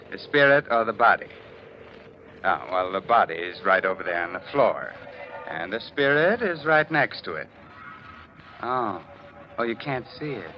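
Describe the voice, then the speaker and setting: Macabre radio host, unhurried, teasing, jovial, dull 1940s recording. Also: male voice, mid-range voice. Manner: mock-polite, teasing, chuckling. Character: ghoulish horror host, slyly jovial, macabre. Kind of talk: 1940s radio horror hosting.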